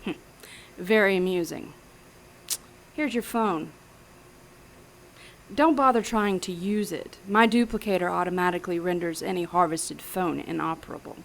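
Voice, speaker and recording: A woman's voice: fairly low pitch, moderate pace, vocal fry, pauses often, slight background noise. An actress playing a smug, menacing female mad scientist in an audio drama thriller, speaking precisely and theatrically. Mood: cold, commanding.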